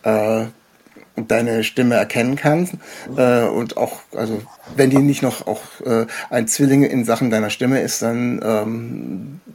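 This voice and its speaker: A man hosting a casual interview, speaking in a hesitant, halting way as he puts his questions. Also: medium pitch, steady tone, a little mellow, breathy edge. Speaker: podcast host, interviewer.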